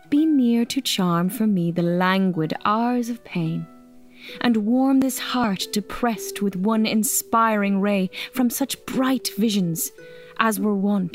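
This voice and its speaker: Languid, solemn poet, mid-pitched, unhurried verse recital over faint guitar. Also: female voice. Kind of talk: slow poetry recitation in radio drama.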